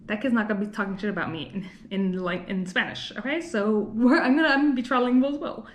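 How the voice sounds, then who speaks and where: A woman's voice: fairly high pitch, fast, roomy sound. A young woman from Los Angeles talking casually to her camera in a rambling, chatty vlog, full of fillers and little laughs. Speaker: vlogger. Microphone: camera mic.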